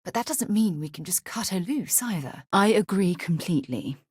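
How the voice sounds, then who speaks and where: A woman playing a principled, earnest space explorer and leader in a video game, a husky voice and articulate, formal delivery. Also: lowish voice, expressive, moderate pace, a little mellow, dry recording, studio quality. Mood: earnest, concerned. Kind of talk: scripted video game companion dialogue.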